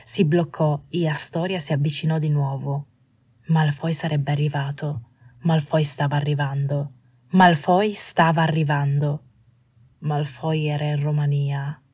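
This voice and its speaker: A woman's voice: low-pitched, steady tone, measured pace, darkish tone, breathy edge, dry recording. A woman reading a scripted story as an audiobook narrator.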